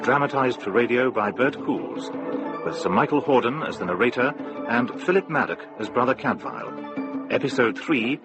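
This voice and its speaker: A man's voice: mid-range voice, animated, normal speed, rough recording. A man formally announcing the credits of a radio drama. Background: loud music.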